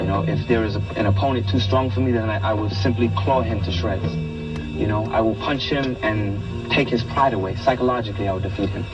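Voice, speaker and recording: A man's voice: on the low side, lo-fi sound. A man from Puerto Rico, a kung fu instructor, speaking intensely and earnestly in a documentary interview, repeating phrases for weight. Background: loud music.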